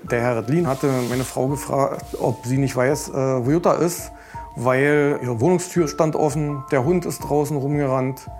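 A man's voice: mid-range voice, normal speed, a little mellow. A man with a mid-range voice gives a documentary interview. He recalls events in a conversational way over faint background music. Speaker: interviewee, son of the victim.

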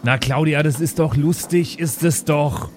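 A man's voice: on the high side, measured pace, a little mellow, slight background noise. A man improvising as a tabletop role-playing player, joking along with hesitant phrasing. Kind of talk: improvised in-character banter.

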